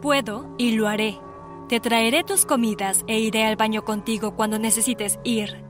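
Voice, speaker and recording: A woman's voice: a little high, fairly even intonation, normal speed, over music, pitched below the performer's own voice, lightly acted. A woman voicing a stern, controlling middle-aged mother who speaks in cold, firm, clipped commands. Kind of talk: voice acting in an animated story dub.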